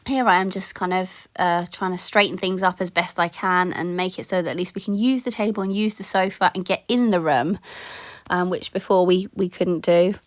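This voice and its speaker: A woman in her thirties, slightly croaky from a sore throat, chatting casually in a voice-over about tidying her home, with lots of ums and yeahs. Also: lowish voice, steady tone, moderate pace, no echo, studio quality.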